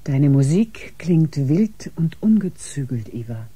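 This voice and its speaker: An actress in a German science fiction radio drama playing a cool, curious scientist and ship's doctor, composed and probing. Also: female voice, low-pitched, very animated, slow pace, exaggerated voice. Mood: cool curiosity. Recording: music behind the voice. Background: music.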